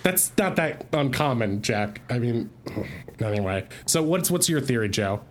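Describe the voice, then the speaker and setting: A man in improvised comedy banter, excitable and swearing a lot. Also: medium pitch, moderate pace, a little hiss or hum. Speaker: improv player.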